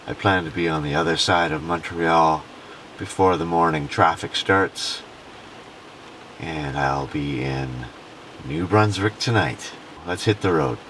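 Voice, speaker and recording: A man's voice: very deep voice, measured pace, low-quality recording. A man narrating his travels in a vlog, as a touring host. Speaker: travelling musician and show host. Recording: clear ambient and vehicle noise.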